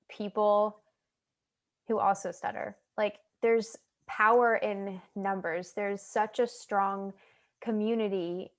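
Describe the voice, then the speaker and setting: A young woman with an American accent, a guest talking about her own experiences in a relaxed, chatty way with many fillers. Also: medium pitch. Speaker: guest, stuttering advocate. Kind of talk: casual interview chat.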